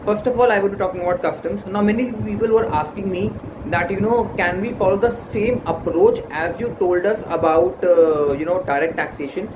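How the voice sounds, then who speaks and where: A young man with an Indian English accent talks through exam strategy in an earnest, halting way, stumbling over fillers and restarting his sentences. He has a fairly high voice, and his first language is Hindi. The recording carries a roomy echo and clear background noise. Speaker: exam-prep YouTuber. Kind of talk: casual study-advice talk to camera. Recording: echoey room with background noise.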